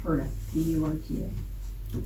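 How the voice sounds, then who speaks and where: A woman's voice: low-pitched, level tone, a little slow. An American woman chairing a council meeting in an indoor chamber. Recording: roomy, distant mic, noisy background clatter.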